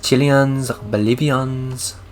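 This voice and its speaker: A man's voice: mid-range voice, fairly even intonation, slow, lightly acted. A man reading out the lines of a sad Argentine woman passenger in a video game, in a plain reading voice. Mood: wistful. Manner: reads her lines out plainly.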